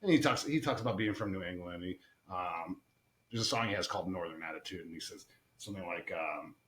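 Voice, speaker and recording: A middle-aged man from Massachusetts, a podcast guest telling casual, animated stories, laughing often and tagging lines with "you know what I mean.". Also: low voice, fast talker, roomy sound. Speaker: podcast guest, retired soldier. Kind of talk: casual storytelling chat.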